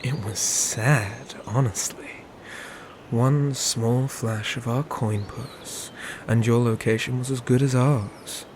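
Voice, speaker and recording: A man playing a haughty, sadistic medieval knight in a dark fantasy ASMR roleplay, with formal, sneering, hushed delivery. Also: mid-range voice, steady tone, slight background noise, natural voice. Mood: scornful. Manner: slow, sneering, formal speech, hushed at phrase ends.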